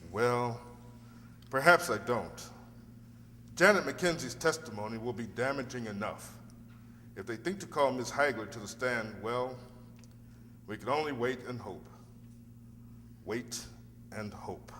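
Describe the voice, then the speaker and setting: A man's voice: mid-range voice, unhurried, long pauses, pushed and bright. Man acting an earnest defense lawyer in a reverberant hall. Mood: concerned. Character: earnest, worried defense lawyer, male, reassuring his client. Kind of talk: radio drama acting. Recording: noisy recording with long hall reverb.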